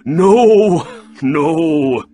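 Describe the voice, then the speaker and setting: A man voicing a poor, gentle older gentleman who speaks slowly, quietly and carefully. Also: fairly low pitch, breathy edge, faint music behind, heavily acted. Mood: delighted.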